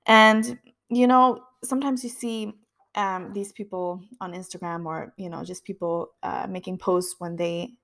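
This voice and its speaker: A woman's voice: lowish voice, fairly even intonation, measured pace, fairly bright tone. A woman of about thirty hosting a podcast, speaking in an earnest, introspective monologue. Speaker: podcast host. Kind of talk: reflective personal monologue.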